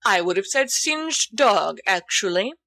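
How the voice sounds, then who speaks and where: A woman's voice: medium pitch, very animated, a little slow, pushed and bright, dry recording, clean studio sound, full character voice. A woman voicing a boastful, theatrical teenage schoolboy who rambles grandly in an audiobook story. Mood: dignified.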